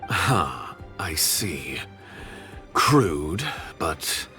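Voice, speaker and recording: An actor playing a wary, disdainful conspirator in a video game, speaking formally and scornfully. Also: male voice, extremely low pitch, sing-song, extremely slow, bright, forceful tone, faint music behind, exaggerated voice. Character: suspicious, disdainful secretive male conspirator. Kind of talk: dramatic video game voice acting.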